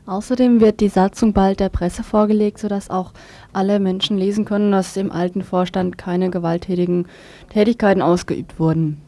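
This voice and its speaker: Mellow-voiced woman reporting on local radio. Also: medium pitch, fairly even intonation, moderate pace. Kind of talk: semi-scripted local radio news report.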